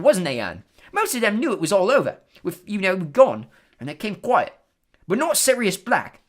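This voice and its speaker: A reader voicing Stan, a chatty young male bus conductor who gossips loudly in working-class London speech. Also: mid-range voice, pitched above the performer's own voice.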